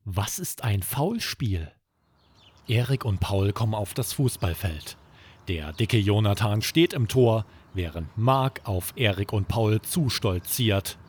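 A man narrating a scripted children's bedtime story as podcast host, with expressive, dramatic storytelling. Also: on the low side, takes it slowly, breathy edge.

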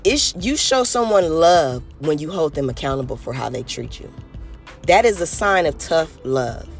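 Hoarse Californian podcast host, mid-pitched, earnest and rambling over soft background music. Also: animated, normal speed. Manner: earnest, rambling, many "you know" fillers. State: hoarse, a little raspy. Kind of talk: motivational podcast monologue.

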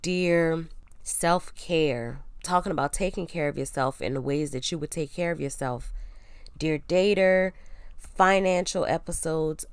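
A young woman with a low-pitched voice, nasal and congested from a cold, talks chattily and with self-assurance on her podcast. Under the stuffiness her tone stays smooth and clear. The sound is close and dry, with no room around it. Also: a little slow, dry recording. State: congested, getting over a cold. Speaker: podcast host. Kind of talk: casual solo podcast, reading her blog post.

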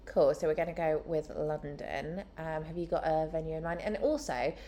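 A woman's voice: low voice, steady tone, normal speed, dark tone, slight background noise. A woman interviewing a guest in person, upbeat and encouraging with her questions. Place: indoor room, in person.

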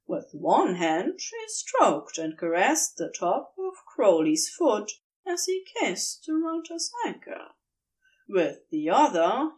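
A woman reading a fan fiction story aloud as a podfic, in a slow, expressive and intimate way. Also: mid-range voice, low-quality recording. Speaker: podfic reader. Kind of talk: scripted story narration.

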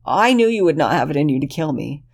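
A man voicing a panicked, unhinged young man who pleads and whines in a high, strained voice. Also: sing-song, fast talker, gravelly, full character voice. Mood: pleading.

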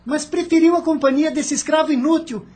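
A woman's voice: sits high, fairly quick, a little mellow, close to an everyday voice. An actress voicing a petulant teenage boy in a radio drama, whiny and sulky.

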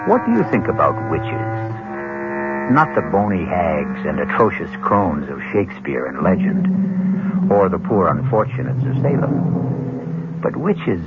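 Deep-voiced man, theatrical suspense host. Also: heavy background noise. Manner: theatrical, deliberate delivery. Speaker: host and narrator. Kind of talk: suspenseful 1970s radio drama narration. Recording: muffled 1970s radio recording, loud music behind.

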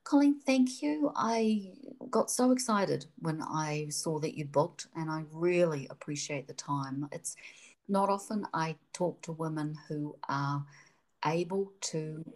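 A woman's voice: low-pitched, a little mellow. A woman from New Zealand with a New Zealand accent, hosting an interview conversation and asking questions in an effusive, enthusiastic way. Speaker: podcast host.

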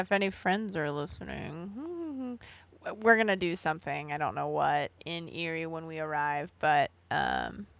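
A woman's voice: lowish voice, creaky, fairly bright tone, no echo. A young woman co-hosting a podcast, upbeat and laughing often as she steers the chat with questions. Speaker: podcast co-host. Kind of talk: casual chat.

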